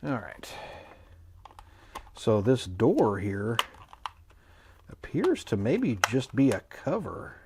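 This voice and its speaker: A man's voice: medium pitch, wildly swooping pitch, slow, pauses often, background noise. A man giving a casual, unscripted product review, thinking aloud in a musing, hesitant and mildly skeptical way. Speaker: host of an electronics review channel.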